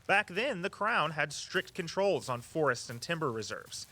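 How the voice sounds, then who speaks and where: An actor playing an eager, earnest walking-tour guide who tells local history with a storyteller's flair. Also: male voice, on the high side, very animated, normal speed, sharp tone, slight background noise. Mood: theatrical, storytelling. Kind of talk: audio drama dialogue, storytelling tour patter.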